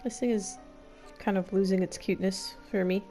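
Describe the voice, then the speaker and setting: A woman's voice: medium pitch, fairly even intonation, moderate pace, warm tone. A woman doing casual, playful commentary as she streams a game, talking back to the characters. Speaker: gameplay streamer. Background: game music.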